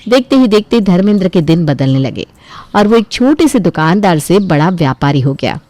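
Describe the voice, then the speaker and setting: A woman's voice: lowish voice, big pitch swings, normal speed, warm tone, dry recording. A woman narrating a scripted family story as its storyteller.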